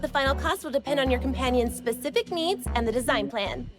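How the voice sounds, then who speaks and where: A woman's voice: high voice, a little fast, over music, natural voice. A woman playing a polite, professional boutique shop clerk in an anime game, with formal customer-service courtesy. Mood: professional, informative. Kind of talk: anime game dialogue acting.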